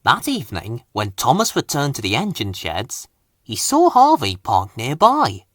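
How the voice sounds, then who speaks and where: A man narrates a children's storybook at an unhurried pace. His delivery swings widely in pitch, and the recording is dry and close. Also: wildly swooping pitch, a bit bright, no room sound. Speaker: storybook reader.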